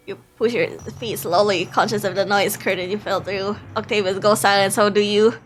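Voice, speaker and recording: A woman's voice: on the high side, fairly bright tone. A woman live-streaming a visual novel, reading it aloud expressively and playfully, breaking off into exclamations.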